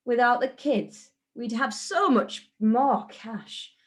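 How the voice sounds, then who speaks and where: A woman's voice: a little high, animated, takes it slowly. A woman voicing a callous stepmother in a children's storybook, curt and exasperated. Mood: fed up.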